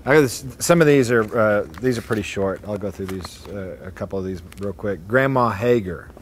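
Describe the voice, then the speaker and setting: A man's voice: fairly low pitch, normal speed, a little hiss or hum. A man casually reading short family stories aloud to relatives in a hotel atrium, breaking off to chuckle and comment.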